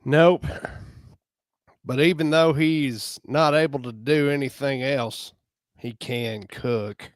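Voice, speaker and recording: Nick, a slow, stern man played by an actor. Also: mid-range voice, steady tone, no echo, clean studio sound, natural voice. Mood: resigned. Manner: tight, curt tone. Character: Nick, stern, fed-up male restaurant partner.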